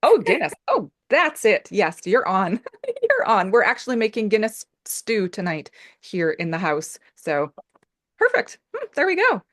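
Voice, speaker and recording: Lively, effusive woman host. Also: mid-range voice, a little slow, no room sound, clean studio sound. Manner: effusive, laughs often, many fillers. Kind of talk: casual interview chat.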